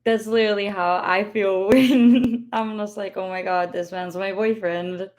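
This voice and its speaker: A woman's voice: medium pitch, steady tone, measured pace, a little mellow. A young woman co-hosting a podcast with her boyfriend, teasing and exasperated, laughing often. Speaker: podcast co-host. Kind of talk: casual joking chat.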